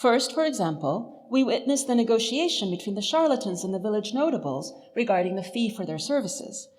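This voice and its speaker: Lively female lecturer, expressive intonation. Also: mid-range voice, a little fast. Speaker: lecturer, professor. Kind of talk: scholarly lecture, scripted. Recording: reverberant hall.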